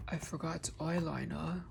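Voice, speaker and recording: Gravelly, slow-talking makeup vlogger man. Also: fairly high pitch. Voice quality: vocal fry. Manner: rambling, musing train of thought. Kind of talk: casual chat during a makeup tutorial.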